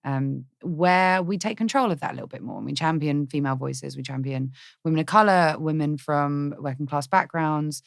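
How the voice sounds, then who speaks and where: A woman in her thirties speaks in a low register, words tumbling out at a brisk clip. Her voice carries a gravelly fry, and she talks candidly, restarting phrases as she goes. The sound is dry and close. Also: no room sound. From Britain. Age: 30s. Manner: candid, rapid, restarts, occasional swearing. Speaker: guest, actress. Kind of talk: casual podcast conversation.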